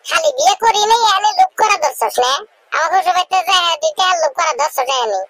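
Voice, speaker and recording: A man voicing a bashful, gullible young village bachelor in a comic cartoon, with a pitched-up voice, colloquial hesitant manner and a rural regional Bengali accent. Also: huge pitch swings, fairly quick, fairly bright tone, no echo, exaggerated voice. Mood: eager, fretting.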